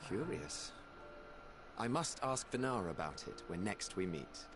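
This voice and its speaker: An actor playing a thoughtful male scholar in a game cutscene, speaking in a measured, formal way. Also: lowish voice, big pitch swings, noisy background. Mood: musing.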